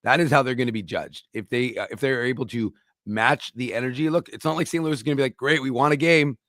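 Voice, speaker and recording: A man's voice: mid-range voice, a bit bright, no room sound, studio quality. A man hosting a sports talk show, chatting emphatically about hockey. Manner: emphatic, quick to agree. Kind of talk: casual sports chat.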